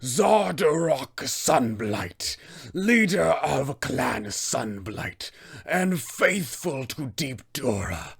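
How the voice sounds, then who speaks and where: A man voicing an insane, imperious old dwarf tyrant for a tabletop game, raspy and gravelly, with a grandiose, menacing delivery. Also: medium pitch, a bit bright, exaggerated voice. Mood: imperious, suspicious. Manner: slow, grandiose, menacing, theatrical. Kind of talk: villain monologue for a tabletop game.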